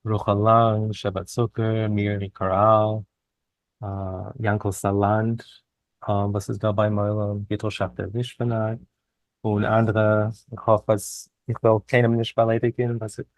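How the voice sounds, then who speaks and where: A man with a lowish voice talks very slowly as an interview guest. He is a non-native speaker, reflective and deliberate, pausing between short phrases. The sound is dry and free of room echo. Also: flat delivery. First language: not a native speaker of Yiddish. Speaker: guest, poet and author.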